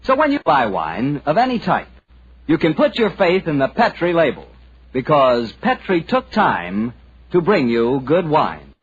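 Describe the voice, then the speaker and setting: A man's voice: on the high side, huge pitch swings, a little slow, breathy edge. A man with an American accent announcing in 1940s radio style, with an emphatic, salesman-like delivery. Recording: dull band-limited 1940s radio recording.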